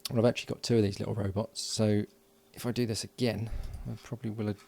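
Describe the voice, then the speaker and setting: A man talking himself through a hands-on electronics project, halting and thinking aloud with frequent restarts. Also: on the low side, flat delivery, gravelly, darkish tone. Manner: halting, muttering, many restarts and fillers. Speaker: electronics hobbyist.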